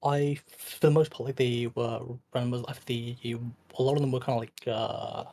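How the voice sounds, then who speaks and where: A man's voice: medium pitch, fairly even intonation, moderate pace, dark tone. A tired man talking late at night as a guest in a casual online debate, hesitant and full of fillers. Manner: hesitant, filler-heavy, restarts often.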